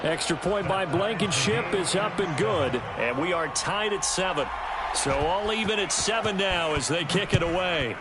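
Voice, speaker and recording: Very loud noise surrounds a fairly high-voiced American football announcer. He is polished and brisk, calling the game play by play in broadcast style for a video game. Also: animated. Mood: focused.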